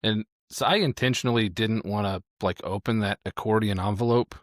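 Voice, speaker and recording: A man's voice: lowish voice, brisk, no echo, studio quality. A man playing a tabletop role-playing game, casually talking through his character's actions.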